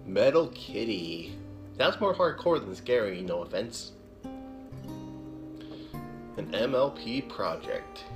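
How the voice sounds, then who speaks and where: A man's voice: lowish voice, big pitch swings, takes it slowly, long pauses. A man in his twenties giving casual live reaction commentary in a musing way, with a little trouble on th sounds. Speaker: host of a reaction video. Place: small living room, indoors. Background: music and video audio.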